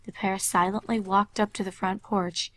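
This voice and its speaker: A woman's voice: mid-range voice, little pitch movement, normal speed, a bit bright. A woman reading fan fiction aloud as a scripted story narrator.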